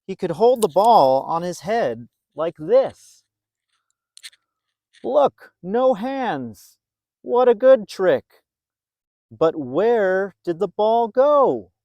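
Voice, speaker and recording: A man reading a story aloud to young children in a playful, expressive way, with dramatic emphasis and drawn-out words. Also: high-pitched, no room sound, dull audio.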